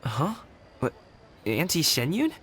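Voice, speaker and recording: A man's voice: a little high, wildly swooping pitch, slow pace, fairly bright tone, slight background noise, exaggerated voice. A man voicing an earnest, humble, eager young man in a video game, with a casual youthful manner. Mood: casual, surprised.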